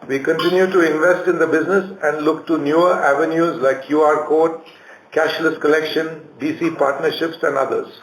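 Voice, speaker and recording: Male corporate presenter, Indian accent, prepared earnings-call delivery, somewhat higher pitch, roomy sound. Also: steady tone, moderate pace. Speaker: company executive on an earnings call. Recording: roomy conference line with faint noise.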